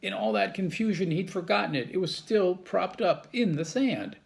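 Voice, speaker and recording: A man reading a children's chapter book aloud in a lively storytelling manner. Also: high-pitched, moderate pace, creaky. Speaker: staff reader.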